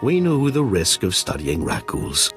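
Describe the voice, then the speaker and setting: Expressive, earnest awkward scientist. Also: male voice, on the low side, unhurried, soft background music, full character voice. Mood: wistful, preoccupied. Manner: stiff, bookish, formal phrasing. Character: earnest, socially awkward male research scientist. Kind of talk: video game acting.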